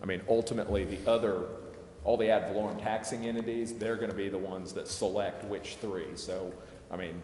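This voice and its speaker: A man with a deep voice explains procedure to a council in an informal, hedging way. He speaks in a roomy, echoing chamber with some noise behind him. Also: a little mellow. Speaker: legal adviser to a city council. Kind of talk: procedural explanation in a public meeting. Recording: echoey, reverberant council chamber with background noise.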